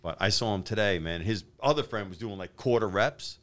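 A gruff man in his fifties with a fairly low voice rants about his gym. He talks bluntly and profanely, and he circles back to the same complaint again and again. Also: a little fast, fairly bright tone. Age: 50s. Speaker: gym owner and podcast regular. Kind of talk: casual podcast chat.

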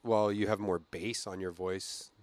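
A man's voice: low-pitched, steady tone, unhurried, no echo. A man co-hosting a comedy podcast, joking in casual banter with a playful, mock-indignant manner, speaking into a studio microphone. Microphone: studio microphone with headphones.

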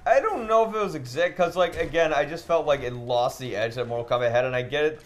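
A man's voice: fairly high pitch, fast. A man co-hosting a casual podcast chat, giving blunt, dismissive opinions and swearing freely while playing a game. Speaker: podcast co-host.

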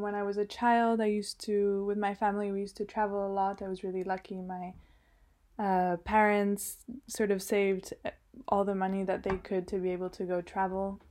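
A woman's voice: medium pitch, mellow. A young adult woman from Switzerland answering interview questions in a casual, hesitant way, with many fillers and restarts. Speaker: guest, designer.

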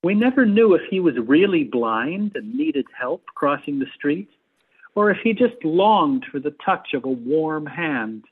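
A man, likely middle-aged, speaking as an interview guest in a measured, hesitant way, picking his words. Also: on the high side, sing-song, slightly rough, narrow, tinny audio. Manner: hesitant, measured, repeats words while choosing them. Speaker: theatre adapter and actor, guest. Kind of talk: interview conversation.

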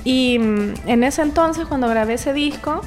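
A woman's voice: sits high, a little slow, darkish tone. Chatty Salvadoran woman with music underneath. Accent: Salvadoran Spanish. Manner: chatty, laughs often, restarts words. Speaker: singer-songwriter guest. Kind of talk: casual interview chat.

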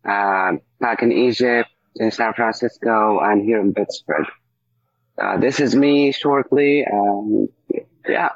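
A man from Syria, a native Arabic speaker, telling his story casually as an interview guest, halting as he searches for words. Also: fairly low pitch, creaky, dry recording. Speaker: coffee shop owner, interview guest. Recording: dull, muffled lo-fi audio.

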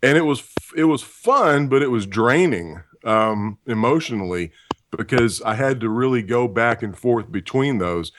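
A man who works as a voice actor, with a deep voice and a dry, self-deprecating sense of humor, answering interview questions. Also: animated, measured pace, a bit bright.

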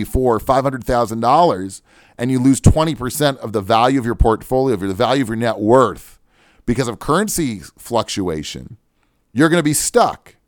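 A man's voice: mid-range voice, dry recording, clean studio sound. A man hosting a financial advice radio show, talking to listeners conversationally with casual asides and self-deprecating jokes. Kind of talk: advice talk show monologue.

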